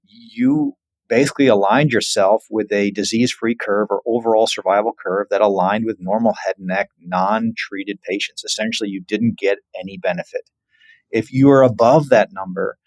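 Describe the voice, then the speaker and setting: A man speaking as a physician researcher in an interview, earnest and emphatic while explaining findings in measured phrases. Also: lowish voice, darkish tone, no echo, low-quality recording. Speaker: physician researcher, podcast guest.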